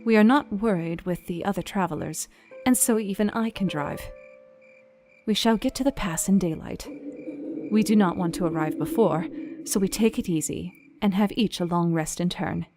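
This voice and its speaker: A woman plays a devout, troubled young Victorian wife in a radio play. She speaks her journal earnestly and reflectively, in formal words at a middling pitch. Music is clearly heard behind her. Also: normal speed, lightly acted. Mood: hopeful, weary. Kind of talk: dramatic audio journal reading.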